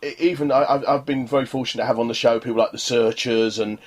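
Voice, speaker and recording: A man's voice: mid-range voice, steady tone, fast. A man hosting a casual radio interview, chatting in a rambling way with frequent tag questions. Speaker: radio show host.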